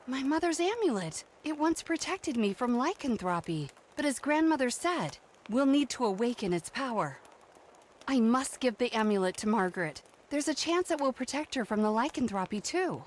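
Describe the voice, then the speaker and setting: A woman's voice: a little high, very animated, moderate pace, a little hiss or hum. A woman playing a protective, thoughtful young mother, the earnest heroine of a video game. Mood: hopeful. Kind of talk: video game character narration.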